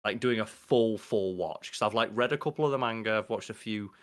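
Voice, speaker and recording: Man, podcast co-host, riffing and upbeat, lowish voice. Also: a bit bright. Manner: quick, enthusiastic, riffing. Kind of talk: casual chat.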